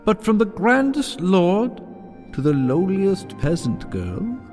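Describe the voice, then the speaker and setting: Man acting a slow, solemn fairy-tale storyteller. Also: high-pitched, big pitch swings, a little mellow, soft background music, exaggerated voice. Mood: solemn, wistful. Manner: grave, dramatic, deliberate. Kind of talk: scripted dramatic prologue narration.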